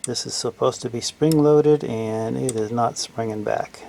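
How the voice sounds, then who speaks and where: A man giving casual hands-on repair commentary, haltingly thinking aloud as he works. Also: mid-range voice, creaky, mellow. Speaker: repairer demonstrating his work. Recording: faint background noise.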